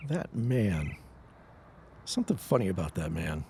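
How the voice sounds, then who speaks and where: A folksy, easygoing young man played by an actor with very lively intonation. It is audio drama, with noticeable outdoor ambience and birdsong behind him. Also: low-pitched, a little slow, gravelly, a little hiss or hum, exaggerated voice. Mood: uneasy.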